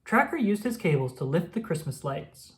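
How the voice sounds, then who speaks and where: A woman reading a children's picture book aloud in an expressive storytelling manner. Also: fairly low pitch, moderate pace. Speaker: storybook reader.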